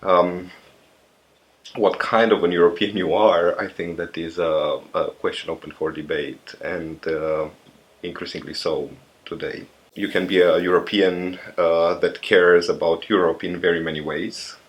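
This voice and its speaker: Really low-voiced romanian guy in his 30s, slow thoughtful interview answers, lots of ums and restarts. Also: steady tone. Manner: thoughtful, halting, many fillers and restarts.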